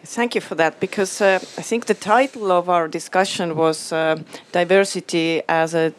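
A woman moderating a panel discussion in front of an audience, speaking in a brisk, conversational way. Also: lowish voice, fairly even intonation. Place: event hall before an audience.

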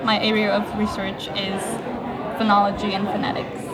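A young woman who grew up in Japan, a graduate student, speaking softly and hesitantly in a casual interview. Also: medium pitch, monotone, normal speed, rough recording. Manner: soft, hesitant, light laughs. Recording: loud crowd noise behind. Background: crowd chatter.

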